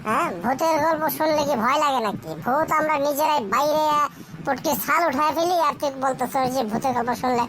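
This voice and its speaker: A woman's voice: sits high, brisk, background noise, lightly acted. A woman voicing a cheeky, boastful child in a cartoon, with a teasing, colloquial way of talking. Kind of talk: cartoon dialogue.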